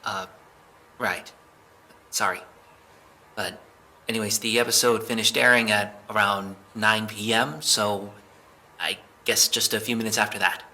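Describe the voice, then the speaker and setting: Man acting flustered suspect, bright forceful voice. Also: on the low side, fairly even intonation, measured pace, long pauses, exaggerated voice. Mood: hesitant, explaining. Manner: hesitant, stammering, rambling. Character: flustered, defensive young male suspect under police interrogation. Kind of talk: audio drama acting.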